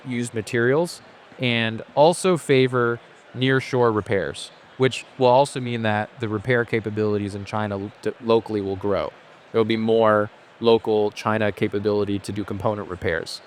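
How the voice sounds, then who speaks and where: A man raised in Asia, speaking as a podcast guest. His voice sits on the low side, and he talks freely and at length, often restarting his sentences as he works through his thoughts. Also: steady tone, moderate pace, slight background noise. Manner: thoughtful and talkative, with frequent restarts. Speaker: podcast guest, company founder. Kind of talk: casual interview conversation.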